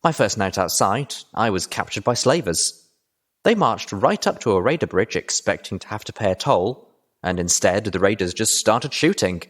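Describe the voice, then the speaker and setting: A man's voice: on the low side, lively intonation, normal speed, a bit bright. A man voicing an earnest, determined young female pony adventurer in an audiobook.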